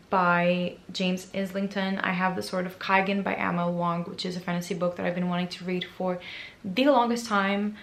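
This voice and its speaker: Woman, hoarse raspy voice, casual book chat, self-deprecating, roomy echo. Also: medium pitch, a bit bright. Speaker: book vlogger.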